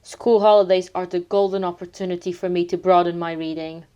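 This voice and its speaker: A woman's voice: on the low side, fairly even intonation, a little fast, dark tone, clear, resonant tone, lightly acted. A woman playing a prim, earnest schoolchild with grown-up airs in an audio drama. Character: studious, precocious schoolchild nephew.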